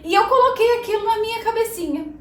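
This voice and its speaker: A woman's voice: very high voice, quick pace, fairly bright tone, distant mic. A Brazilian woman in her thirties hosting a casual personal vlog, speaking Portuguese with a Brazilian accent, chatty and talking straight to her viewers. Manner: chatty, addresses viewers as "gente", frequent "né". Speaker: channel host. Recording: echoey room, voice a bit off-mic, faint noise.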